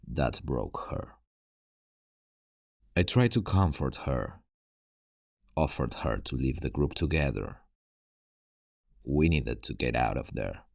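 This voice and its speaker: A man acts out a haunted, worn-out male survivor in a zombie apocalypse audio roleplay. His voice sits extremely low and crackles heavily with fry. He speaks slowly, in a hushed and grave ASMR storytelling style, with halting pauses between phrases. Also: no echo, studio quality, exaggerated voice.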